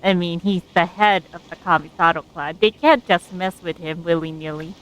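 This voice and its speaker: A woman streaming a game, casually commenting on the story as she haltingly thinks aloud. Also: fairly low pitch, clear, resonant tone, rough recording.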